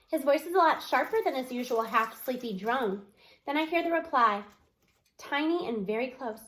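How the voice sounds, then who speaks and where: A woman reading a children's novel aloud as an expressive first-person narrator. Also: high voice.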